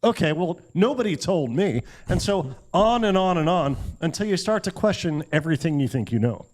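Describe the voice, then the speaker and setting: A man's voice: a little high, expressive, moderate pace, a little mellow. A man co-hosting a comedy podcast, telling stories in a wry way and acting out imagined reactions. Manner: wry, acts out imagined quotes. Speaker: podcast co-host telling the story. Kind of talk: comedic storytelling commentary.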